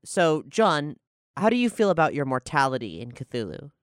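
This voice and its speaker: A woman with a clear, smooth voice hosts a casual panel discussion, steering the conversation informally. The recording is close and dry. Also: low-pitched, big pitch swings, normal speed, dry recording. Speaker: podcast host and showrunner.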